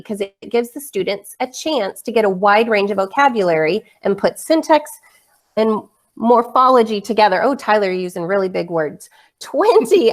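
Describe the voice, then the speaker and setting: A woman co-hosting a casual interactive webinar, relaying responses in an upbeat, appreciative manner. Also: a little high. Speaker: co-host reading out chat responses.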